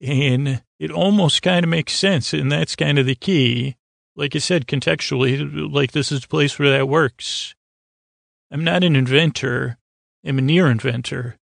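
A man with a creaky voice hosting a bedtime podcast in a soothing, meandering, hesitant monologue full of stammers and tangents. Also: a little high, measured pace, dry recording, clean studio sound. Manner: soothing, lulling delivery. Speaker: podcast host.

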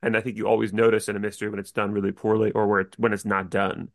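A man hosts a casual interview show. He talks quickly in a mid-pitched voice that is full of creak. The recording is close and very dry. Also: flat delivery, no echo. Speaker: podcast host.

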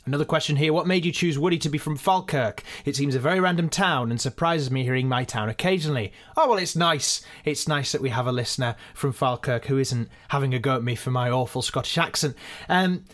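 A man's voice: mid-range voice, a little fast, fairly bright tone. A man, a podcast co-creator, answering listener questions in a casual, chatty, self-deprecating way. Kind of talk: casual behind-the-scenes Q and A.

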